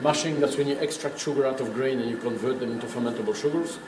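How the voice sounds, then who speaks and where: A man guides a tour with an emphatic, didactic delivery. He explains informally but with firm opinions. The sound is roomy and echoing, with clear background noise. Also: mid-range voice, quick pace. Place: indoors in a working brewery.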